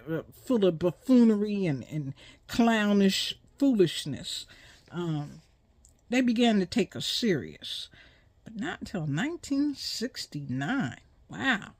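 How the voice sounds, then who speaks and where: Older female host, 60s plus, unhurried halting delivery, close dry sound, informal educational talk. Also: on the low side, no echo. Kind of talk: informal educational monologue on radio history.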